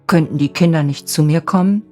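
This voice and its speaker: An old bachelor from a storybook fairy tale, voiced by a woman, gruff yet softening. The voice sits low and is earnest and restrained in manner. Music runs behind it. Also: a little fast, close to an everyday voice. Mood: awkward, kind. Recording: music bed under the voice.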